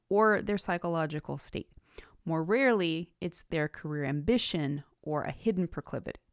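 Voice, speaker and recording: Female podcast host, slightly low register, scripted teaching narration, dry close-miked sound. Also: no room sound. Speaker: writing podcast host and author.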